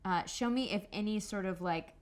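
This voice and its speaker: A woman's voice: mid-range voice, moderate pace. A woman playing a tabletop game, casually improvising and thinking aloud in a tentative way.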